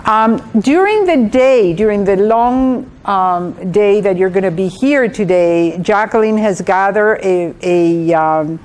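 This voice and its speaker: A woman with a Guatemalan accent giving a training talk as a guest speaker, in a halting way with repeated words. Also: medium pitch, darkish tone. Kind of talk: training presentation to an audience. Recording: clearly noisy live room recording.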